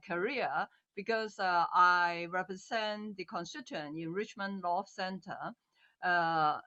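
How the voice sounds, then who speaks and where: A woman's voice: fairly low pitch, a bit bright, lo-fi sound. An older woman from Hong Kong with a Cantonese accent, a politician guest telling her story earnestly in an interview; her first language is Cantonese.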